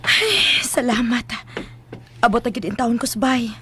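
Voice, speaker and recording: A woman's voice: on the high side, big pitch swings, a little slow, very noisy. A woman with a Cebuano accent playing an emotional, protective young daughter in a radio drama, plaintive and insistent. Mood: pained. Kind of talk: Philippine radio drama acting.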